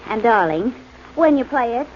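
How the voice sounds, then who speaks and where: A woman's voice: on the high side, expressive, moderate pace, darkish tone, natural voice. A woman playing a sweet, teasing young girlfriend in 1940s radio acting. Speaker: supporting actress. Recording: dull, slightly hissy old radio broadcast.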